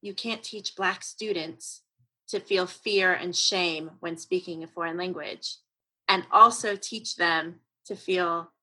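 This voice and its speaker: A woman's voice: fairly low pitch, steady tone, slow, bright, forceful tone, husky, rough recording. A woman hosting an interview, engaged and thoughtful, summing up her guest's points. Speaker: podcast host and interviewer. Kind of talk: conversational interview.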